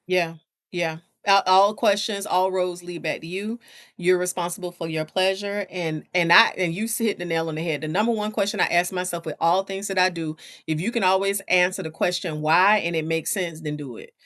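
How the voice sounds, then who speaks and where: A woman's voice: lowish voice, fairly even intonation, moderate pace, a bit bright, dry recording, clean studio sound. A woman in her forties co-hosting a podcast, talking playfully and calling listeners honey and child as she reads the live chat. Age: 40s. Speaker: podcast co-host. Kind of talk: casual chat, reading live chat comments.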